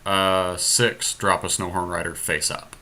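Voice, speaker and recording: A man with a slightly deep voice that has a bright, forward edge. He hosts a podcast and talks casually through a card game as he plays, weighing each option aloud. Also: level tone, unhurried, a little hiss or hum. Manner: thinking aloud, deliberating over options. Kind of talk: casual strategy commentary while playing a card game.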